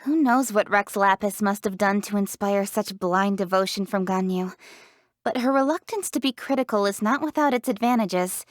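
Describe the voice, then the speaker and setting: A woman's voice: mid-range voice, moderate pace, dry recording, clean studio sound, natural voice. A young woman voicing a diligent, proud young city official in a video game, speaking in an articulate, assertive, matter-of-fact way. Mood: reflective, candid. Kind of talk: scripted video game character voice lines.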